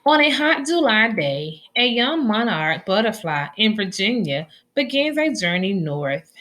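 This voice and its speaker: A woman reading a children's nonfiction story aloud in a deliberate, instructional way for young listeners. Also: medium pitch, big pitch swings, fairly bright tone.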